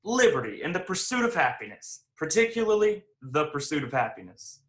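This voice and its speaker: An actor in a play reading, playing a brash, excitable young factory worker teasing his buddy. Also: fairly high pitch, a little fast.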